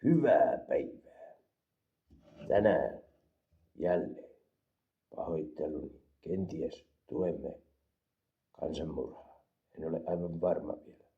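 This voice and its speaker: A man from Finland with a Finnish accent, rambling deadpan through an absurd comedic product review and mixing languages. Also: a bass voice, unhurried, pauses often, phone-quality sound. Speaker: vlogger reviewing an energy drink.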